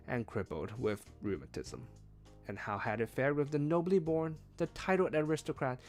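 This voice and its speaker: A man reading a novel aloud as a streamed read-along, with expressive, emphatic phrasing. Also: mid-range voice. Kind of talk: read-along audiobook narration.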